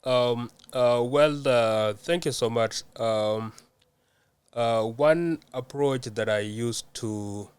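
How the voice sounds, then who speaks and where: A young man from South Sudan answering interview questions in a measured way, with many hesitant fillers. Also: mid-range voice, clear, resonant tone, dry recording. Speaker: guest.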